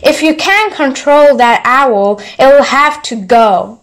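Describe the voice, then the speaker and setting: A woman reading a storybook as a gruff, short-tempered, bullying middle-aged uncle who blusters and scolds. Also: high-pitched, takes it slowly. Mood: angry.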